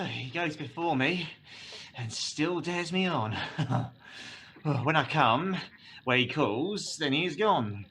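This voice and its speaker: A man's voice: a little high, expressive, slow pace, a bit bright, slight background noise. A man acting an angry, weary young lover in a Shakespearean radio play, declaiming verse in broken phrases with sighs. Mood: exhausted, frustrated.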